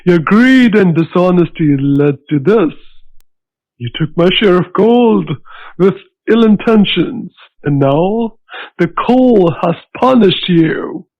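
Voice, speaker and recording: A man playing a calm, wise dervish in an animated fairy tale, speaking in a measured, solemn and stern way. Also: high-pitched, no room sound, dull audio.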